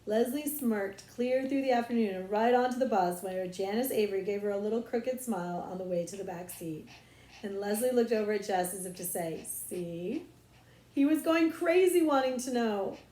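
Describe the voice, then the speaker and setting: A woman reading a children's novel aloud as expressive storybook narration. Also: medium pitch, brisk, echoey room, poor audio. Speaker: audiobook reader, librarian.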